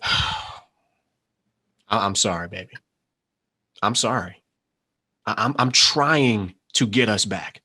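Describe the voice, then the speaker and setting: A man's voice: fairly low pitch, slow, pauses often, pushed and bright, breathy edge, exaggerated voice. A man playing a desperate, insistent young schemer in a screenplay table read, pleading with feeling. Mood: apologetic.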